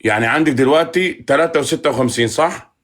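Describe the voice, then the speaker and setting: A man's voice: on the high side, quick pace. A man hosting a casual live chat in banter, teasing, bargaining and often repeating himself. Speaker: live stream host.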